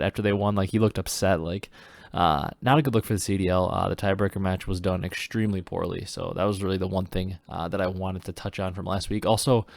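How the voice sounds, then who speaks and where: A man's voice: sits low, gravelly, no echo. A young man from Wisconsin hosting a casual solo esports podcast, sounding stuffed up from a cold and rambling with lots of "uh" fillers. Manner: rambling, many "uh" fillers and restarts. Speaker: podcast host.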